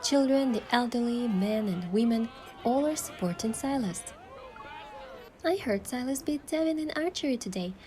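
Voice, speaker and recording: A woman's voice: a little high, animated, normal speed, a little mellow, a little hiss or hum, close to an everyday voice. A woman playing a thoughtful, affectionate young heroine, narrating a romance game story in the first person with a reflective manner. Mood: narrating, earnest. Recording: music behind the voice.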